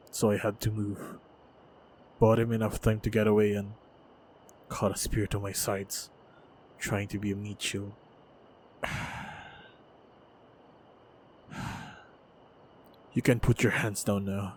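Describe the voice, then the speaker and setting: A stoic, wounded lone monster hunter, acted by a man in a fantasy audio drama. His voice is low and stays very even, coming out in guarded, halting phrases. Faint noise and ambience sit behind him. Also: lightly acted. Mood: weary, guarded. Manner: measured, halting, guarded delivery with heavy sighs. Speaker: voice actor in audio roleplay. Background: faint ambient sound effects.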